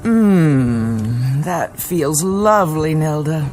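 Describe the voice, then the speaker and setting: An actress playing a genteel, pampered middle-aged mother in a radio drama, languid and gently controlling. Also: low voice, animated, music in the background, heavily acted. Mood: languid, pleased. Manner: languid, genteel, drawn-out delivery.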